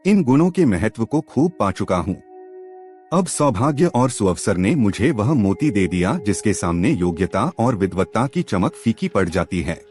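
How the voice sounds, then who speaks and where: A man's voice: on the low side, big pitch swings, normal speed, a little mellow. A man voicing a wealthy, courteous landowner who speaks formally and entreats earnestly in a story. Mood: earnest persuasion. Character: wealthy, courteous, persuasive landowner pandit, sincere and humble. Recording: music under the voice.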